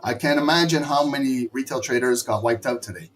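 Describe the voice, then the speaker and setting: A hoarse middle-aged man teaching a live trading lesson in an emphatic, scolding way. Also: medium pitch, a little fast. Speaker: trading instructor.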